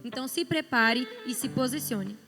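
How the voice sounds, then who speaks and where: A woman's voice: high-pitched, moderate pace. A woman with a São Paulo accent, speaking firmly on a church youth panel. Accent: São Paulo Brazilian Portuguese. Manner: firm, exhorting. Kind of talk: conversational church panel talk. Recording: music playing underneath.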